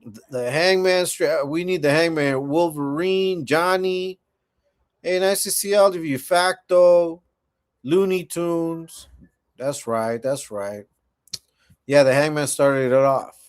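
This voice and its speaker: A man's voice: fairly high pitch, slow pace, smooth. A man in his forties hosting a casual livestream, chatting laid-back with viewers and often repeating himself. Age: 40s. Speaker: livestream host.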